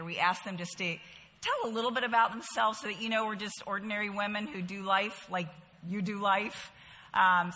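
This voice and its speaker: A woman in her fifties speaks with a light Southern accent. She leads a Bible study in a bright, clear tone, keeping things chatty and adding humorous asides. Her voice echoes through a large hall. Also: mid-range voice. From Bahamas, later North Carolina. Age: 50s. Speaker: women's Bible study teacher. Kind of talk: casual talk to a group, then spoken prayer and storytelling.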